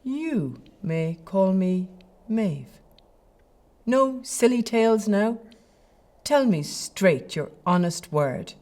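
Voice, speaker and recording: A woman's voice: mid-range voice, lively intonation, very slow, long pauses, darkish tone. An actress playing a chieftain's lady in an audio play, arch, playful and haughty. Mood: teasing, scornful. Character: fine Irish chieftain's lady, teasing, imperious, flirtatious.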